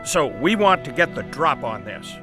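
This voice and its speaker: An actor playing a brisk, persuasive newspaper boss in an audio drama, confident and explanatory. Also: male voice, medium pitch, big pitch swings, music in the background, lightly acted. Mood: brisk enthusiasm. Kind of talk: family audio drama acting.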